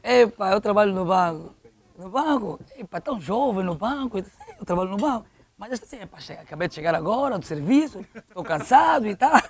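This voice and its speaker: High-voiced middle-aged Angolan man. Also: expressive, brisk. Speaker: interview guest, local football official. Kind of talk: conversational storytelling in an interview.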